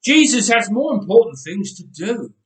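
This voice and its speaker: A man's voice: high-pitched, sing-song, normal speed, a bit bright, far from the mic, voice pushed up. A man voicing brusque, officious helpers who dismiss the crowd curtly, read aloud in a roomy church. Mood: dismissive.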